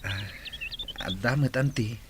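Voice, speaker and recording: A man's voice: mid-range voice, takes it slowly, fairly bright tone, a little hiss or hum. A man playing a humble, hardworking village farmer in an Ilocano radio drama.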